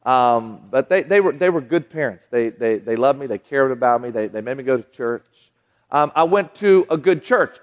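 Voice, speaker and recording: A man's voice: a little high, big-room sound, highs cut off. A man in his forties with a Southern American accent, preaching a folksy, conversational sermon to a live audience. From Southern United States. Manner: folksy, conversational, frequent restarts and asides to the crowd. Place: large hall with audience.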